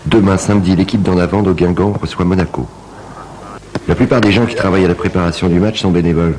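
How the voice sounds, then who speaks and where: A man giving calm, measured scripted narration for a documentary. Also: low-pitched, a crowd in the background, low-quality recording.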